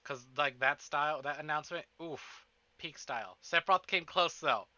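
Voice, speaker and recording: A man's voice: fairly high pitch, normal speed, bright, forceful tone, dry recording, highs cut off. A man livestreaming a game, chatting casually in slang and swearing freely. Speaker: game streamer and host.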